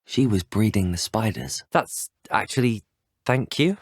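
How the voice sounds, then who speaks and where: Husky, well-spoken young British student wizard. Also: male voice, on the low side, animated, a little slow, dry recording, clean studio sound, full character voice. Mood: curious, wry. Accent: British English. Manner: courteous, formal, well-spoken. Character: polite, earnest young male student wizard, game hero. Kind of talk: scripted video game dialogue lines.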